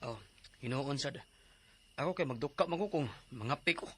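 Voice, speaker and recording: Animated, chiding Cebuano buddy. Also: male voice, mid-range voice, moderate pace, breathy edge. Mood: casual. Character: teasing, mildly scolding male buddy. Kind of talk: radio drama acting.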